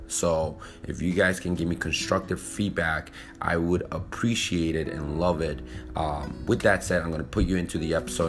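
Deep-voiced, excitable podcast host. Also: male voice. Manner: energetic, rambling, quick to laugh. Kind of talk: casual podcast conversation. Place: room outside a studio. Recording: roomy sound with music underneath.

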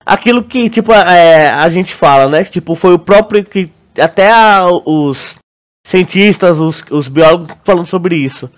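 High-voiced Brazilian man chatting casually. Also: a little slow. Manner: slangy, rambling. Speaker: podcast co-host. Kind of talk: casual chat, theorizing about a series.

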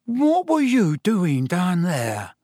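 A man's voice: high-pitched, wildly swooping pitch, slow, mellow, dry recording, clean studio sound, voice pushed up, exaggerated voice. A man voicing a brash, nosy teenage bus conductor with cheeky, slangy speech in a narrated storybook. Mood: sniggering. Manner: cheeky, slangy, dropped sounds and double negatives.

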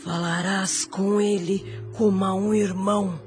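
An actress with a Brazilian accent playing a venerable, prudent older Mother Superior in a radio drama, husky, grave and deliberate. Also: medium pitch, level tone, darkish tone, faint music behind, close to an everyday voice. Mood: cautious counsel.

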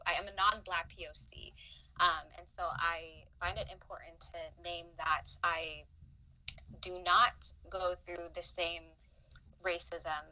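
Bright-voiced Filipina woman, thoughtful. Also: on the low side, poor audio. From Philippines. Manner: thoughtful, with frequent fillers and restarts. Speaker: podcast guest, psychotherapist in training. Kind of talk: reflective interview talk.

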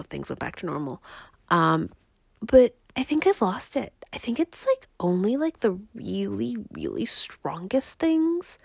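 A young woman podcast host chatting casually and ramblingly, her voice raspy and congested as she recovers from illness. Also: on the low side, dry recording, studio quality. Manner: rambling, conversational. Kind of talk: casual personal podcast chat.

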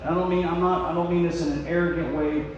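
A high-pitched American man in his forties gives a folksy sermon testimony. He tells his story dramatically and voices remembered conversations. The sound is very echoey and picked up from far away in a hall, with faint hum behind him. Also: level tone, fast, lo-fi sound. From United States. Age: 40s. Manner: folksy, dramatic, acts out remembered dialogue, swings from quiet confiding to shouting. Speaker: preacher and missionary.

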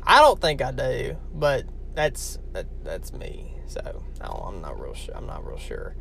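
A man's voice: medium pitch, wildly swooping pitch, unhurried, vocal fry, a bit bright, slight background noise. A young man from Louisiana with a heavy Southern accent, chatting casually and rambling to his viewers. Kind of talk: casual talk to camera.

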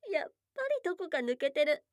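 A woman's voice: high voice, sing-song, normal speed, no echo, studio quality. A woman playing a young goblin girl, a blunt, teasing yet shy girlfriend, in an intimate ASMR voice drama. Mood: amused, teasing. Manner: blunt tomboyish speech, teasing and bashful. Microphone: close dry studio microphone.

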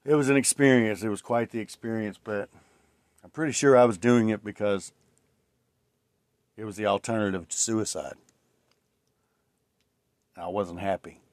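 A man's voice: on the low side, steady tone, moderate pace, pauses often, darkish tone, no room sound. A man in his late forties hosting his own podcast, talking alone in a rambling, reflective way with many fillers and restarts. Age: 40s. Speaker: podcast host. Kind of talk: casual solo podcast monologue.

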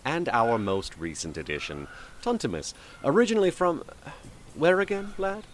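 A man's voice: a little high, sing-song, moderate pace, over music. A man playing a wry adventurer who leads his companions in a fantasy audio drama. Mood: genial.

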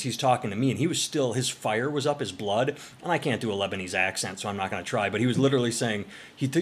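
A man's voice: mid-range voice, breathy edge. A man co-hosting a podcast, casually telling a profane, rambling story. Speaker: podcast co-host.

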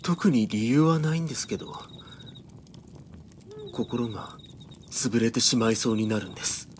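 A man's voice: medium pitch, moderate pace, a little hiss or hum, natural voice. An actor playing a timid, depressed young man in an audio drama, hesitant and polite. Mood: downcast.

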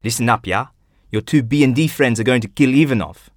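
A man's voice: medium pitch, a little fast, no echo, clean studio sound, pitched above the performer's own voice, lightly acted. A man voicing a blunt, streetwise man who talks brashly and tags his lines with questions. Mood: suspicious.

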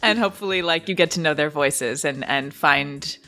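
A woman's voice: low voice, normal speed, sharp tone. A woman podcast guest explaining her creative work in casual interview talk.

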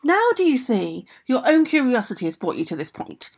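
A woman voicing a stern magical king who gives admonishing, deliberate instructions in a folk tale. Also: fairly high pitch, big pitch swings, husky, pitched above the performer's own voice, full character voice. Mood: stern, instructing.

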